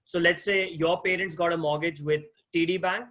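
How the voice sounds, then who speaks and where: A man's voice: fairly high pitch, fairly even intonation, moderate pace, a bit bright. Man with an accent giving an online lecture. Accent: non-native English accent. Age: 30s. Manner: conversational, asks the class questions, frequent restarts. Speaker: guest lecturer, mortgage agent. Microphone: video call.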